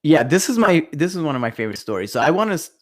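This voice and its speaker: A man who grew up in Italy with Italian as his first language, co-hosting a podcast and telling stories in a lively way, laughing as he goes. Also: a little high, racing. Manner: animated storyteller, laughs while telling stories. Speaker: podcast co-host. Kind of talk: casual chat.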